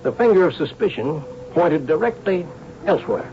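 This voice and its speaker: An actor playing a shrewd, persistent police inspector, dry, courteous and probing, in a radio drama. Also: male voice, fairly high pitch, lively intonation, normal speed. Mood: wry reflection. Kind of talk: radio drama acting and narration. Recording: dull, noisy old radio recording.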